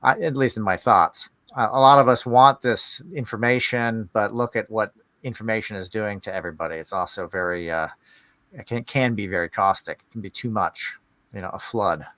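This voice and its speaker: A man hosting a conversational spiritual podcast, musing in a halting way as he searches for his words. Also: fairly low pitch, bright, forceful tone, dry recording. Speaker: podcast host.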